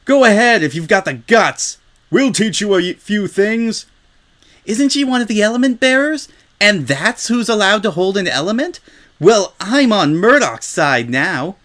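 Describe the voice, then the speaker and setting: A man's voice: sits high, very animated, a little slow, a bit bright, voice pushed up, heavily acted. A man voicing angry unicorn townsfolk who heckle and jeer in outrage in a comic story reading. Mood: outraged, jeering. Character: angry, jeering mob of unicorn townsfolk ponies.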